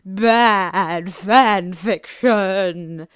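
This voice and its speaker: A woman voicing a wicked male villain who taunts in a slow, menacing, drawn-out manner for a fan story. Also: mid-range voice, clean tone, no room sound, clean studio sound. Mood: gloating menace.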